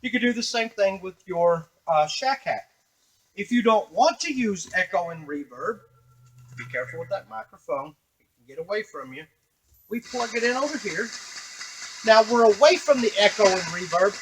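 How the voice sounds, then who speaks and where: A man's voice: sits high, animated, normal speed, husky, rough recording. A man giving a casual, unscripted tutorial on a device, explaining step by step to the viewer as he works. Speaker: device demonstrator.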